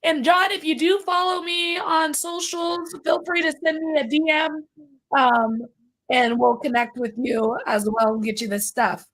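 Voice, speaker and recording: A woman with a raspy but high voice hosts a live podcast stream. She talks in a bubbly, rambling way, full of fillers and little laughs. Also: fairly even intonation, unhurried, fairly bright tone, rough recording. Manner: bubbly, chatty, many fillers. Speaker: podcast host. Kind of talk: casual live-stream chat.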